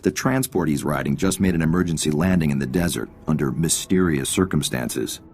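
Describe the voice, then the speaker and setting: A really deep-voiced army intel officer in a game, friendly n businesslike, bit of background noise. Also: male voice, lively intonation, brisk, darkish tone. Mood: briefing, businesslike. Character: friendly, wry male military intelligence officer. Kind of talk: scripted video game dialogue.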